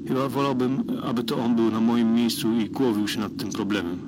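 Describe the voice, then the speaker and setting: A man's voice: mid-range voice, fairly even intonation, fairly quick, very noisy, lightly acted. A man playing a worried spaceship crewman methodically and formally recording a solitary audio log in a radio drama. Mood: concerned, analytical. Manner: methodical, formal, dryly reporting.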